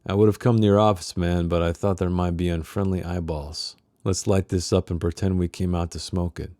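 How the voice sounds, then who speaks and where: A man voices a secretive man in hiding from a noir novel. The voice is lowish, mellow and close, guarded and quietly earnest. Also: flat delivery, a little fast, dry recording, lightly acted. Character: secretive, troubled man believed dead, asking favors.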